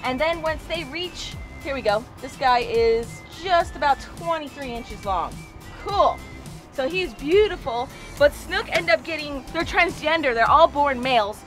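An American woman in her thirties chatting casually and excitably as a fishing host, recorded outdoors on a small boat. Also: sits high, measured pace, over music. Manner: excitable, exclamatory, informal. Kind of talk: casual vlog chat, explaining to viewers. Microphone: action camera at arm's length or a few feet away.

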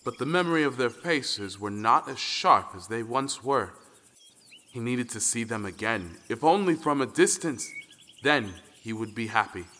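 A man's voice: medium pitch, lively intonation, moderate pace, bright, forceful tone. A man reading scripted narration for a folktale audio drama. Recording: long reverberant hall sound. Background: music.

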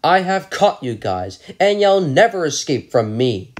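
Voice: mid-range voice, sing-song, a little slow. A performer voicing a scheming male cartoon dog who traps people and gloats about it, with a cackling laugh. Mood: gloating. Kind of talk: homemade cartoon voice acting.

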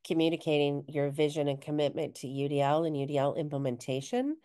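A woman co-hosts a webinar on a video call, with a deep, smooth voice. She reads chat comments aloud and builds on them in an encouraging way. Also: quick pace, dark tone. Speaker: webinar co-host. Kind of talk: conversational webinar discussion.

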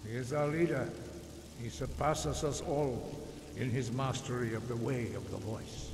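An actor playing a stern, wise old monk master in a fantasy video game, speaking in a solemn, formal, measured and admonishing way. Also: male voice, medium pitch, lightly acted. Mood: grave, resigned. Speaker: game character, mentor. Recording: echoey stone hall, music behind.

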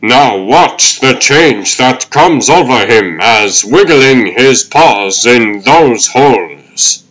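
A man's voice: medium pitch, a bit bright, rough recording. A man voicing a wordy old turtle showman in a comic dub, with theatrical sales patter. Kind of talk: comic dub character acting.

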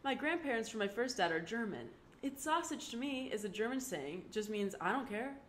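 A woman voicing a dry-witted seventeen-year-old girl with a deadpan, wry manner. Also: fairly high pitch, normal speed, slight background noise, voice pushed up, lightly acted.

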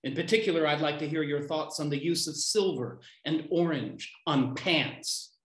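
Guy in his 50s doing a poetry reading over video call, roomy echo, pauses between lines. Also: on the high side, fairly bright tone. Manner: declaiming short unconnected lines with deliberate pauses. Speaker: poet reading own poems. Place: small room at home. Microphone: computer mic on a video call. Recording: roomy, echoey home video-call sound.

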